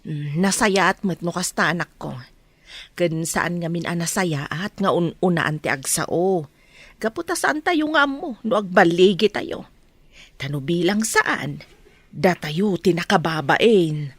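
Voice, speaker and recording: A woman with an Ilocano accent playing a gentle, moralizing village mother in a radio drama. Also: lowish voice, expressive, a little slow, dry recording. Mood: gently advising.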